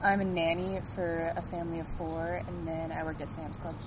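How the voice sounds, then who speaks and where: A woman's voice: on the low side, level tone, normal speed. A young woman from Minnesota, a student, answering casually and trailing off with "so". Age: young adult. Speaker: student interviewee. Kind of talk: casual field interview answers. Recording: traffic noise behind.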